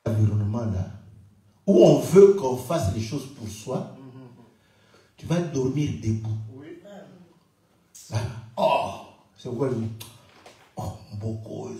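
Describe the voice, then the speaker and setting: A man from Congo preaching a sermon, swinging from loud exclamations to quiet asides, asking the congregation rhetorical questions and laughing often. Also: takes it slowly, pauses often, recorded from a distance. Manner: animated, swings between loud high exclamations and low murmured asides. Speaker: pastor. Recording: very echoey room, speaker away from mic.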